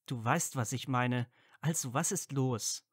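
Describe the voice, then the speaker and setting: A man's voice: mid-range voice, unhurried, slightly rough, no room sound, clean studio sound. A man playing an earnest, loyal, easily flustered young wizard friend in an audio drama. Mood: concerned. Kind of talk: fan fiction audio drama dialogue.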